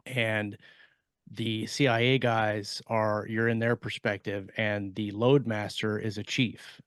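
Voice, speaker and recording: A man's voice: on the low side, level tone, slow pace, fairly bright tone, smooth, dry recording, studio quality. A man in casual discussion as a podcast co-host, explaining in a rambling, hedging way.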